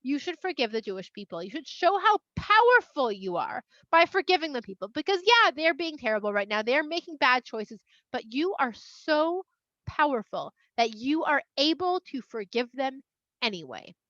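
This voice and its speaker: Woman as high-voiced, earnest, persuasive prophet Moshe. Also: very animated, normal speed, pushed and bright, no room sound, muffled recording, exaggerated voice. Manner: emphatic, blunt, persuasive. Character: earnest, persuasive male prophet pleading and arguing boldly.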